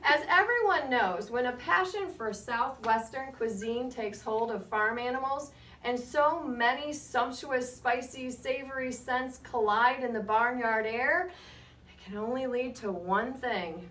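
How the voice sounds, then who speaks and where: A woman reading a picture book aloud to children as a guest storyteller, with expressive, measured delivery and short asides to her listeners. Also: a little high. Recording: roomy, distant mic, faint background noise.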